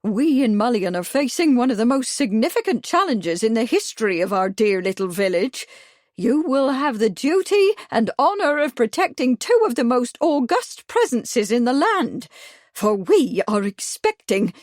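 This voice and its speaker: Woman doing a shrill pompous village busybody. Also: very animated, moderate pace, darkish tone, no room sound, studio quality. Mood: insistent. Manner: shrill, booming, officious. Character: loud pompous busybody village lady, middle-aged.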